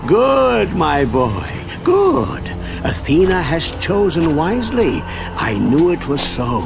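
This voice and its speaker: A man acting an eccentric, cryptic old gravedigger in a game cutscene, gravelly and gleefully theatrical. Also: fairly high pitch, huge pitch swings, slow, over music, heavily acted. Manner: theatrical, knowing, wheedling.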